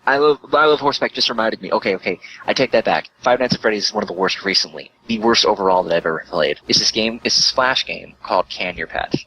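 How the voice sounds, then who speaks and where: A man's voice: lowish voice, fast, hardly any pauses, pushed and bright, rough recording. A man chatting casually as an interview guest, rambling and emphatic as he talks. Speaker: guest, live streamer and writer. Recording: faint background noise.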